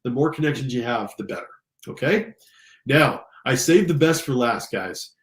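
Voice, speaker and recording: A man's voice: mid-range voice, lively intonation, moderate pace. Congested American man in an echoey room. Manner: casual, enthusiastic, addresses listeners directly. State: slightly congested from allergies. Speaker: career coach and instructor. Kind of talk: informal screen-share tutorial. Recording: roomy, echoing sound.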